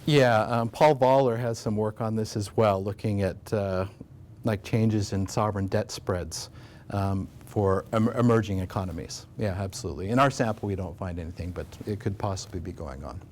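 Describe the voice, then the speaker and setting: An American man presenting research at an academic conference, talking in a relaxed way with many fillers and restarts. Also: on the low side, normal speed, slight background noise. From United States. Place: conference room.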